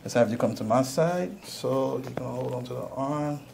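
A man's voice: medium pitch, moderate pace, gravelly. Reassuring male chiropractor, faint background noise. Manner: reassuring, repeats short prompts. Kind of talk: casual hands-on instruction to a patient. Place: small indoor chiropractic treatment room.

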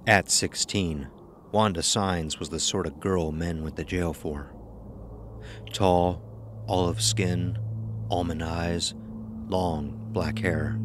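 A man's voice: low-pitched, background noise. A man narrating a scripted horror story, with ambience behind him.

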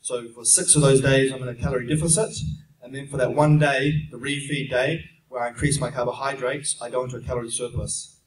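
A young New Zealand man talks through audience questions at a seminar, explaining in a steady, plain way with frequent "um"s. His voice sits in a middling range. It is picked up from across a roomy, echoing conference hall, with faint noise behind it. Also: medium pitch, fairly quick, distant mic. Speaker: fitness speaker answering audience questions. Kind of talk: casual Q&A seminar talk. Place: large conference room at an expo. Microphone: handheld mic, heard from a distant camera. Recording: echoey room heard from afar.

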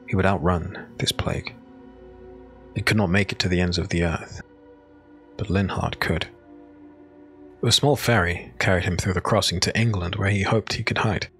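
A man giving solemn, measured, dramatic narration of a dark fantasy audiobook. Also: sits low, fairly even intonation. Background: music.

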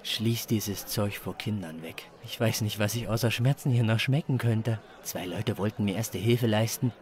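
A man's voice: lowish voice, moderate pace, darkish tone, lightly acted. A man playing a crude, despairing chili judge who complains melodramatically in a comic story. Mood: agonized disbelief.

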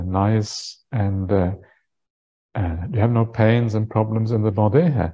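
A man in his fifties from Norway, a meditation teacher, gently guiding a meditation in an unhurried, halting way with frequent pauses and uhs. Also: on the low side, dull audio. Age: 50s. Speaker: Buddhist monk, meditation teacher. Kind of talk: guided meditation instruction.

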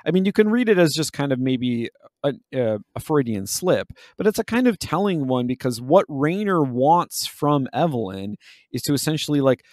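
A man's voice: fairly high pitch, very animated, moderate pace, darkish tone, dry recording, clean studio sound. A man co-hosting a podcast, talking analytically and searching for his words with frequent self-corrections. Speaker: podcast co-host. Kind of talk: analytical discussion of a video game.

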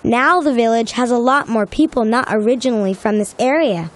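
A woman's voice: a little high, brisk, natural voice. A woman voicing a logical, studious young detective with formal, precise speech in a cartoon game. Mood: analytical.

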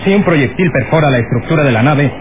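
An actor playing a firm, cautious airline captain in a radio serial, authoritative and deliberate, on a scratchy, tinny old recording. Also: male voice, a little high, lively intonation, close to an everyday voice. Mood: stern command.

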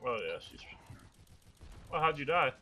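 A young man chatting casually while playing a multiplayer game, excitable and quick to swear as he reacts to play. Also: medium pitch, a little hiss or hum. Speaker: video game player. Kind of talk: casual multiplayer gaming chat.

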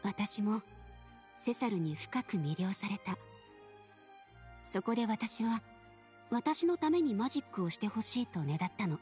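A woman playing a gentle, wistful middle-aged lady in an anime game, speaking softly and tenderly. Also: mid-range voice, expressive, a little slow, long pauses, close to an everyday voice. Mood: wistful, fond. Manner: soft, tender, reminiscing. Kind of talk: Japanese anime game voice acting. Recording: faint music behind the voice.

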